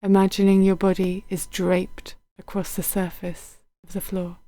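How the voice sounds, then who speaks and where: Mellow, soothing woman guiding meditation. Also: mid-range voice, completely flat delivery, no echo. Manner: gentle, unhurried, soothing, with soft phrase endings. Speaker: meditation guide. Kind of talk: guided body scan meditation.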